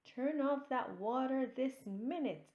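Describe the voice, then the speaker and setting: A woman voicing a bossy, easily exasperated lady of the house in a children's story, commanding and brisk, in an echoey room. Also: high-pitched, lo-fi sound, pitched above the performer's own voice. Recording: roomy echo.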